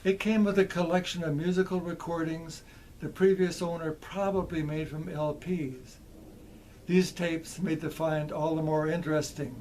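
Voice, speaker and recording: An older man in his seventies narrating a scripted demonstration in a measured, methodical way. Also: on the high side, fairly even intonation, a little mellow, slight background noise. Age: older, around 70s. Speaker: presenter of vintage electronics. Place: small indoor electronics workshop.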